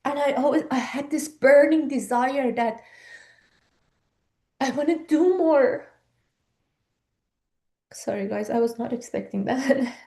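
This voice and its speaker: A woman's voice: high-pitched, takes it slowly, pauses often, warm tone. Earnest woman coach. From Iran. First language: Persian. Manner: earnest, urging, rhetorical questions. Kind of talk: live online coaching talk.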